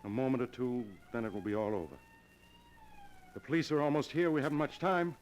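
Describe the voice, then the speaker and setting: An actor playing a smooth, fatalistic criminal lover, theatrical and persuasive, in 1940s radio drama style on an old recording. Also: male voice, medium pitch, lively intonation, brisk, lightly acted. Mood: coolly persuasive. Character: smooth, fatalistic criminal lover urging his partner to suicide. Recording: noisy old golden age radio recording.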